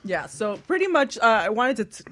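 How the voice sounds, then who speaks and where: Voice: medium pitch, sing-song, brisk, a bit bright. A co-host in a casual podcast chat, giggly, leading the wrap-up.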